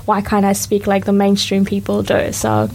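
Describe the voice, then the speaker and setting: A young woman hosting a casual radio chat, reflective and quick to agree. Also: mid-range voice, monotone, vocal fry, darkish tone, slight background noise. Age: young adult. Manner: reflective, chatty, lots of agreeing "yeah". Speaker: radio show host. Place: radio studio.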